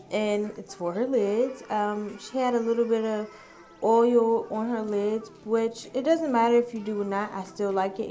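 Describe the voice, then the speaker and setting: A woman's voice: a little high, mellow. A woman makeup artist giving a casual, chatty voice-over tutorial, informally addressing her viewers.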